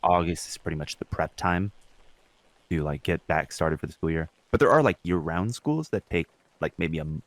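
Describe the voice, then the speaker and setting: A man's voice: low voice, no echo. A young man co-hosting a podcast, chatting informally and swearing casually. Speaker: podcast co-host; substitute teacher.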